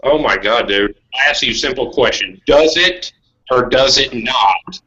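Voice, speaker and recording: A man's voice: mid-range voice, expressive, measured pace, pushed and bright. A man in a heated online debate, combative and demanding, prone to swearing and cutting in. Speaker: debate guest. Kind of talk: heated argument. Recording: dull, lo-fi online call audio.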